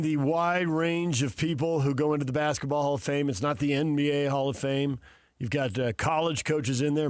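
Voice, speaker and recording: A man's voice: on the high side, dry recording, studio quality. A man co-hosting a sports radio show, trading casual banter about the news.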